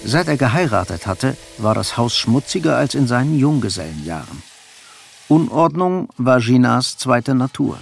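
Creaky-voiced male radio drama narrator. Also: medium pitch, big pitch swings. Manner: measured, storytelling. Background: faint music.

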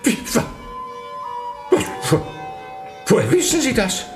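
An actor playing an unctuous 19th-century German archbishop in a radio play, halting, stammering and obsequious. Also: male voice, extremely high pitch, wildly swooping pitch, full character voice. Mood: flustered, obsequious. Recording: roomy echo, music behind.

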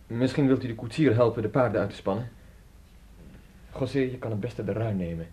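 A man acting a polite, well-to-do traveller in a radio drama, speaking in a measured, formal way. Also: mid-range voice, mellow, slightly rough, close to an everyday voice. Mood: businesslike. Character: courteous well-to-do male traveller at a country inn. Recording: old radio play recording, hissy, clicks.

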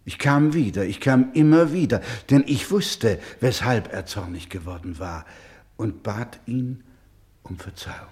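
A man acting a solemn, proud storyteller in a radio play, speaking gravely and deliberately, with emphatic swells and hushed drops. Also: mid-range voice, lively intonation, close to an everyday voice. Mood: earnest. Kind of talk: slow, dramatic radio-play narration.

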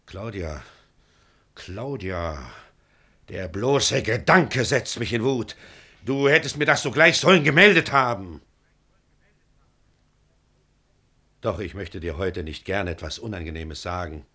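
A man's voice: fairly low pitch, lively intonation, a little slow, bright, forceful tone, breathy edge, heavily acted. An actor playing a stern, suspicious middle-aged father, proud and declamatory, in a classical radio drama. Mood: brooding anger. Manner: declamatory, severe. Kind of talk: classical stage-style radio drama acting.

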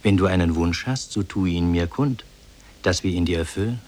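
An actor playing a solemn, benevolent demon in human form, speaking formally and with measured declamation in a 1950s radio drama. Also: male voice, low voice, darkish tone. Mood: solemn, reassuring.

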